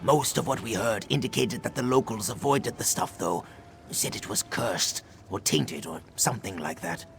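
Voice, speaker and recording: A man's voice: mid-range voice, animated, moderate pace, vocal fry, soft background music. A man voicing an anxious lizard-folk refugee in hiding, in fantasy video game dialogue. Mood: uneasy, explaining.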